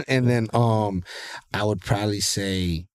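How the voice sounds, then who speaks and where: Deep nasal man. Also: takes it slowly. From Ontario, California. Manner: loose, animated and profane, with lots of fillers. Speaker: rapper, guest. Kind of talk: casual interview chat.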